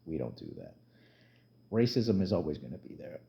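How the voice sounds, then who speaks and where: A man's voice: very deep voice, wildly swooping pitch, moderate pace, vocal fry. Blunt New man, lo-fi audio. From New Jersey, USA. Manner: blunt, conversational, emphatic rants with plain talk. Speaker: YouTube commentator. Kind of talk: casual spoken commentary vlog.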